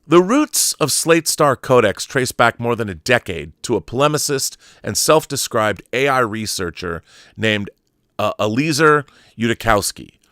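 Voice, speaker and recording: A man's voice: mid-range voice, fairly bright tone, no echo, studio quality. A man hosting an online show, reading a long article aloud and adding brief asides. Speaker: YouTube host.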